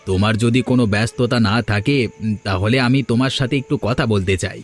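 A man's voice: on the low side, brisk, soft background music, close to an everyday voice. A man playing an earnest, downcast young wastrel brother who pleads for redemption in a moral story cartoon. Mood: hesitant. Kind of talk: dramatic cartoon dialogue.

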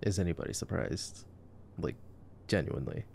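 A young man doing an ASMR boyfriend roleplay as casual movie commentary, talking in a sarcastic, slangy, low-key way. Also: expressive, moderate pace, gravelly, darkish tone, a little hiss or hum.

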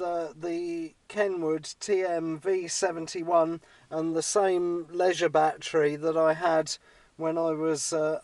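Higher-pitched man chatting on amateur radio. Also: a little mellow, no echo. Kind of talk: casual amateur radio chat. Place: in and beside a parked car outdoors.

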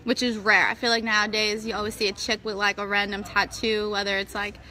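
A woman's voice: mid-range voice, level tone, brisk, pushed and bright. A 21-year-old woman chatting casually and playfully on a phone livestream, reading out viewer comments. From New Jersey, US. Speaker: livestream co-host, wrestler. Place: small indoor room. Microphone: phone camera held close.

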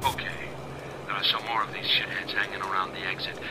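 An actor playing a sadistic snuff-film director in a video game, coaxing and menacing in a theatrical way. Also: male voice, on the low side, flat delivery, fairly quick, noisy background, lightly acted. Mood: excited, savage. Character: sadistic male snuff-film director ordering a captive around.

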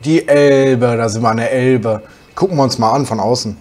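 A young man with a slight cold, hoarse, chatting casually as he plays a truck driving game for his channel. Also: mid-range voice, moderate pace, a little hiss or hum. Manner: relaxed, chatty, musing aloud while driving. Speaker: gaming channel host.

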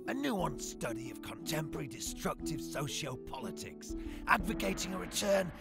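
A man's voice: a little high, animated, normal speed, vocal fry, over loud music. A man voicing a grandiose, zealous reactionary author in a cartoon talk show, with a pompous, verbose, declamatory manner.

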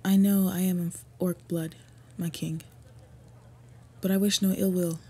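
A woman acts out an earnest half-orc suitor in a medieval fantasy roleplay. She speaks in a very breathy, soft voice with a dark, mellow tone. Her delivery is formal and measured, staying fairly flat in pitch as she pleads with devotion. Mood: solemn, nervous. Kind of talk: scripted fantasy roleplay audio.

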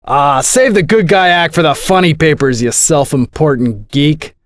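A man's voice: a little high, normal speed, dry recording, studio quality, natural voice. A man playing a brash, selfish, scornful reluctant hero in an action-comedy video game, sarcastic and swaggering.

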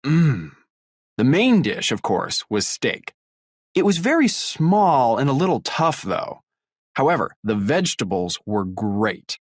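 Male reader, scripted listening clip, expressive pitch, measured and clear, dry studio sound. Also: no room sound. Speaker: recorded listening exercise speaker. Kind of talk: scripted read-aloud.

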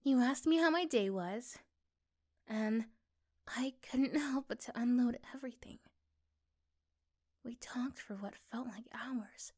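A woman playing a gentle, affectionate young girlfriend in an ASMR roleplay, speaking softly and hesitantly with tender pauses. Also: fairly high pitch. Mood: fond, reminiscing. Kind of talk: ASMR roleplay, intimate one-sided dialogue.

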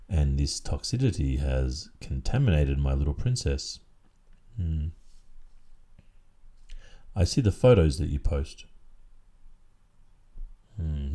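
Very deep, slow Australian man. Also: mellow. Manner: deliberate, measured, stern. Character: stern, controlling, possessive boyfriend lecturing his partner. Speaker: roleplay audio performer. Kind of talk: ASMR boyfriend roleplay monologue.

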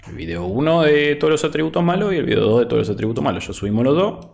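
A man's voice: fairly high pitch, expressive, rapid-fire, creaky, darkish tone. A young man in his twenties with an Argentine accent, chatting casually on a gaming stream in a laid-back, chatty way, with a headset mic at home. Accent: Argentine Spanish. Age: 20s. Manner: laid-back, chatty, says "boludo" often, reads chat aloud. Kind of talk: casual gaming livestream chat. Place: home room, indoors. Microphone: over-ear headset.

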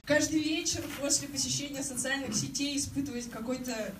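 A woman's voice: high-pitched, a little fast. A woman in her twenties, speaking as a campaign office coordinator to a public meeting, earnest and hesitant with restarts. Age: 20s. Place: crowded meeting room indoors. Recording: echoey room, mic far off, noisy.

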